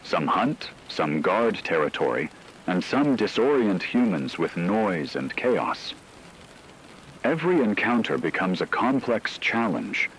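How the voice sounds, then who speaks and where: A man playing a grave radio newsman, delivering a scripted emergency report in a measured announcer's manner. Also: on the low side, slight background noise, rough recording. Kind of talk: scripted fictional radio news narration.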